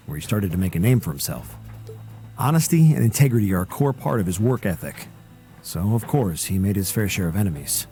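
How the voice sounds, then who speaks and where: Game role: guarded, earnest young man, soft dark tone, measured and articulate storyteller. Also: on the low side. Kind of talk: video game voice acting, solemn storytelling.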